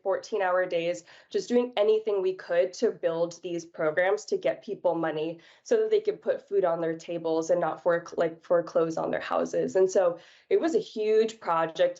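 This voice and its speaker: A young woman from New York speaks in a fairly low voice, easygoing and chatty. She talks over slightly muffled call audio. Her story unfolds with casual fillers. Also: steady tone, moderate pace, darkish tone. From New York, United States. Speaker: podcast guest. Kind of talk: casual interview chat.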